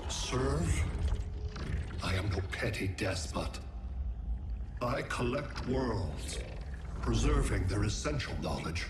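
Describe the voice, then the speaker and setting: A cold alien world-collector, slow and measured, low voice, echoey mix, loud music. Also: male voice, noisy background. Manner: calm, measured, menacing and clinical. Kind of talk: video game cutscene acting.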